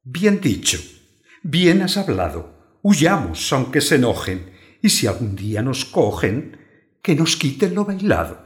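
A man's voice: mid-range voice, sing-song, measured pace. Melodramatic lovestruck young knight, swooping voice, acted by a man. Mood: ardent. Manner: theatrical, declamatory verse delivery. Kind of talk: comic verse radio theatre. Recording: roomy echo.

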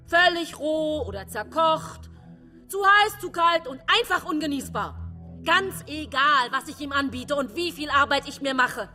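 A woman's voice: high-pitched, pushed and bright, exaggerated voice. A woman playing an exasperated, jealous daughter voicing her seething inner thoughts in a radio drama, agitated and indignant. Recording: long reverb with faint music.

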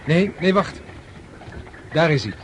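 Animated, eager young nobleman. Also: a little high, slow, a little hiss or hum. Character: eager young nobleman giving orders from a carriage. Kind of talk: radio drama acting.

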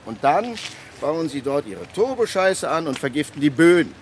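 An actor playing a government official in a radio play, telling a case emphatically with dramatic pauses and acted-out dialogue. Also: male voice, a little high, very animated, slight background noise. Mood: indignant. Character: animated male government official recounting a land restitution case. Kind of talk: conversational monologue in a radio play.

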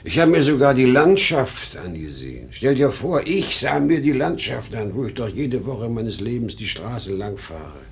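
A man's voice: mid-range voice, sing-song, moderate pace, gravelly, few pauses. An actor playing an exhausted, rambling salesman past sixty, proud and erratic, in a vintage German radio drama. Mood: dreamy, troubled. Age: 60s. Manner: weary, rambling, given to boastful outbursts. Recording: noisy vintage 1950 radio recording.

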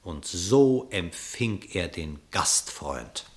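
A man narrates a verse story for a classic radio play. His voice sits fairly low, and he tells it expressively in measured, dramatic phrases. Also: sing-song.

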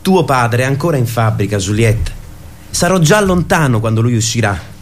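An actor playing an excited, earnest boy who dreams of flight, in a radio theatre piece. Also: medium pitch, moderate pace, a little hiss or hum, lightly acted. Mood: earnest, insistent.

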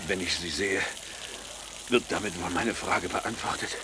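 Low, languid, sinister gentleman. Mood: weary, polite. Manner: halting, overly polite, fading to whisper. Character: languid, sinister, courteous gentleman stranger, exhausted. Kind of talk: radio drama acting. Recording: clear noise, watery sizzling ambience.

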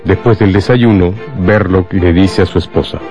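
A grave storyteller narrates a radio drama, voiced by a man. His voice is low and his delivery is scripted, measured and full of suspense. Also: a little mellow, faint music behind, natural voice.

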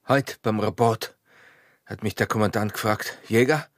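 An actor playing a proud, bitter and smitten border guard in a radio drama, speaking Austrian rural dialect with a hoarse, breathy voice and intense manner. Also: male voice, lowish voice, steady tone, moderate pace, no room sound, clean studio sound, close to an everyday voice. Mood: tormented.

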